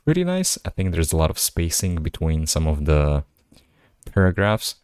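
A man's voice: very deep voice, big pitch swings, normal speed, a little mellow, clean tone, dry recording, clean studio sound. A man whose first language is Slovak, hosting a solo podcast where he reads articles aloud and adds casual, musing asides. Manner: casual, musing asides between read passages. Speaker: podcast host. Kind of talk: reading articles aloud with commentary.

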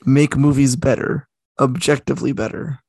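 Gravelly young man rambling on a podcast. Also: medium pitch, flat delivery, moderate pace, a little mellow, no echo, studio quality. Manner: rambling, self-correcting. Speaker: podcast co-host. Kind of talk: casual chat.

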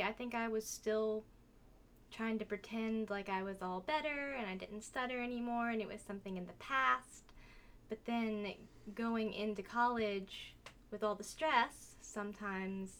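A woman's voice: medium pitch, a bit bright. Woman in her twenties, podcast guest, candid, occasional stutter, faint noise behind. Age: 20s. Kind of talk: podcast conversation.

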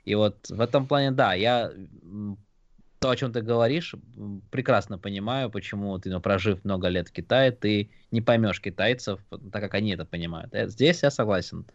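A man's voice: fairly low pitch, brisk, dry recording. A man from a Georgian family raised in Russia, chatting casually and thoughtfully, with hesitant fillers. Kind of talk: casual podcast chat. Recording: dull lo-fi sound.

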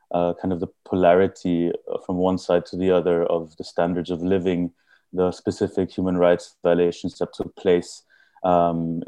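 A man from India, raised in Austria, answering podcast interview questions in a halting way with many fillers and restarts. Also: sits low, warm tone, no echo. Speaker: guest.

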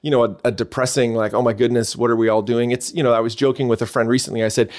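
A middle-aged Canadian man talking quickly and brightly in a relaxed interview. His pitch sits in an ordinary middle range while he rattles through stories with lots of fillers and restarts. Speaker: podcast guest, church consultant. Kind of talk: casual interview chat.

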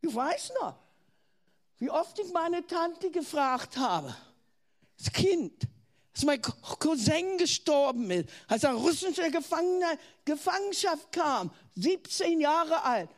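Older German woman preacher, earnest and questioning, high-pitched, unhurried delivery. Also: sing-song. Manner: earnest, asks the listener questions, restarts words. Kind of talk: sermon.

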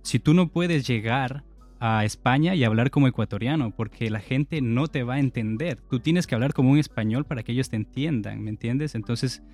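A man in his twenties from Ecuador, a podcast guest calmly telling his story in casual chat. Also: medium pitch, normal speed, faint music behind. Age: 20s. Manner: calm, reflective storytelling.

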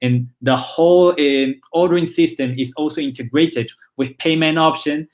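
A man in his twenties, born in China, with a Spanish accent and Chinese as his first language, speaks as a startup founder in a casual interview, halting often with repeated words and fillers. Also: fairly high pitch, fairly even intonation. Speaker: startup founder and CEO, guest.

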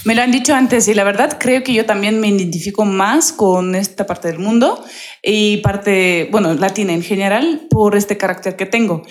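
A woman from Russia in her thirties, a lively and talkative podcast guest who laughs often, speaking Spanish learned as a second language. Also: medium pitch, moderate pace. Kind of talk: casual interview chat.

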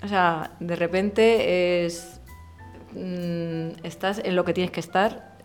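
A woman in her thirties from Murcia with a softened Murcian accent, a guest talking candidly and casually in an interview, full of slang and fillers. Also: lowish voice, steady tone, takes it slowly, faint music behind. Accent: softened Murcian Spanish. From Murcia, Spain. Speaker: podcast guest, illustrator.

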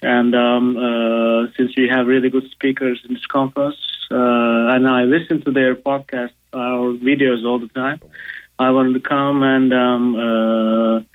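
A man's voice: mid-range voice, flat delivery, unhurried, phone-quality sound. A man in his thirties with a Turkish accent, a guest on a radio talk show, speaking hesitantly with many fillers. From Turkey. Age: 30s. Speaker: guest, economics professor. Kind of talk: casual interview.

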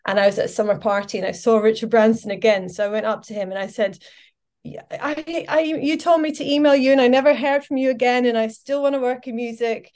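A woman's voice: on the high side, fast talker, darkish tone. A Scottish woman in her forties, a podcast guest chatting casually and telling her story with lots of fillers. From Scotland. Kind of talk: casual interview chat.

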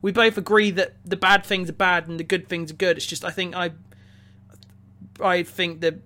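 A man co-hosting a podcast, talking casually about films in a halting way, often repeating words as he goes. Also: high-pitched, little pitch movement, bright, forceful tone. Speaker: podcast co-host reviewing a film. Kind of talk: casual opinionated discussion.

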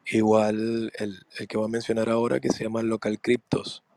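A man with a voice hoarse from laryngitis, explaining things in a measured, hesitant way on a podcast. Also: fairly low pitch, completely flat delivery, a little mellow, no room sound. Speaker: podcast guest.

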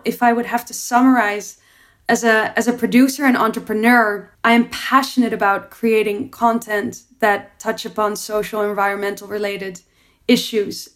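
A young adult woman, a producer and entrepreneur, answering interview questions in an earnest, reflective way with frequent restarts. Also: mid-range voice.

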